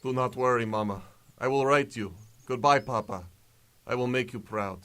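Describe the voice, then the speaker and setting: A man's voice: mid-range voice, normal speed, fairly bright tone, natural voice. A man plays a young farm lad in a superhero audio drama. He speaks earnestly and dutifully, with a mid-range voice. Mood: resolute.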